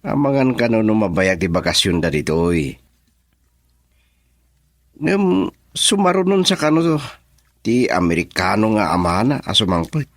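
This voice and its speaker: An actor playing a lively, teasing, friendly young man in a radio drama, with an Ilocano accent. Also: lowish voice, a little slow, long pauses, no room sound. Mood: chatty, teasing.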